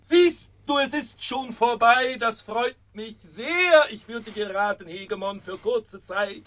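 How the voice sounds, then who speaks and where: An actor playing a gentle, guileless male prisoner and philosopher in a radio drama, speaking earnestly and deliberately, as if pleading. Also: extremely high pitch, animated, heavily acted. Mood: gentle, eager.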